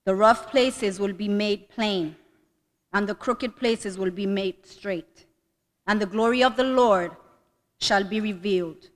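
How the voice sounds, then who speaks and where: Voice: medium pitch, slow pace. A male voice giving a dramatic reading of a speech as oratory at a commemorative event. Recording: reverberant hall.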